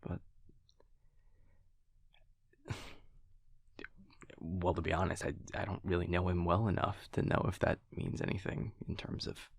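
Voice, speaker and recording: A man playing an affectionate, wry vampire boyfriend in an intimate audio roleplay, speaking casually and hesitantly in a low, gravelly voice. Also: level tone, measured pace, pauses often, dry recording, exaggerated voice. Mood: thoughtful, hesitant. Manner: hesitant, casual, softly teasing. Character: affectionate, wry male vampire boyfriend, self-deprecating and easygoing.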